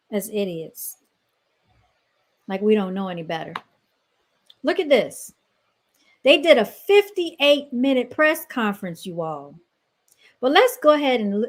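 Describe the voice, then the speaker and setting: A woman with a mid-range voice hosts a casual commentary podcast. She talks straight to her listeners in a chatty, mocking tone. Her delivery is loose and informal. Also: medium pitch. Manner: chatty and sarcastic, laughs often, keeps addressing listeners. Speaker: podcast host. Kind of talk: casual commentary reacting to clips.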